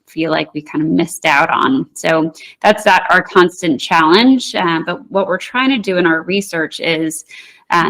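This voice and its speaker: A woman with a fairly low voice talks through trading charts as a guest in an interview. She speaks in a measured, thoughtful way, often pausing on "um" and restarting phrases as she explains each setup. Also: fairly bright tone, low-quality recording. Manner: measured, with frequent fillers and restarts. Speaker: market technician guest.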